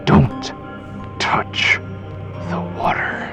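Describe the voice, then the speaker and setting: A man voicing a weary, regretful man with a secret in a horror audio tale, breathy and pleading. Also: drawn-out words, music in the background. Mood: urgent warning. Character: Liam, weary, regretful man hiding an unearthly nature. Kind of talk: dramatic horror audio storytelling.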